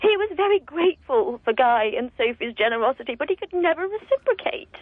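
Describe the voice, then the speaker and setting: An actress playing a blunt, resentful estranged wife in a radio comedy drama, speaking reproachfully. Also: female voice, high-pitched, very animated, brisk, dry recording. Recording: tinny, phone-like, dry.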